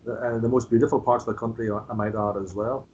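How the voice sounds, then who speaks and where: An Irish man in a casual political discussion, speaking in a measured way with hesitant fillers. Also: medium pitch, level tone, a little mellow, slightly rough. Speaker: political party member. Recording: dull lo-fi audio with faint hiss.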